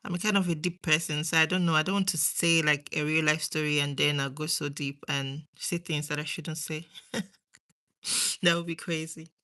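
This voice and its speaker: A woman's voice: deep voice, fairly even intonation, moderate pace, sharp tone. A young woman with a Nigerian accent chatting casually to viewers on a livestream, rambling and trailing off between thoughts. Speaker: livestream host.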